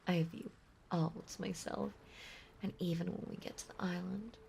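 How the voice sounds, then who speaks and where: A woman playing a possessive, lovesick mermaid monster girl in an intimate audio roleplay, breathy and often whispering, with a doting, clingy delivery. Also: fairly low pitch, fairly even intonation, moderate pace, darkish tone, slight background noise. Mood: wistful, clingy. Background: ocean ambience.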